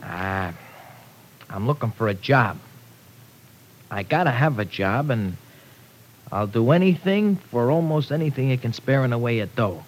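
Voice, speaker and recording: An actor playing a young, wayward streetwise man with rough, slangy, humble talk in an old radio drama. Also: expressive, measured pace, long pauses, lightly acted. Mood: awkward, pleading. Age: young adult. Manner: slangy, ungrammatical tough-kid talk. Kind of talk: 1940s radio drama acting. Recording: noisy old radio recording with rumble.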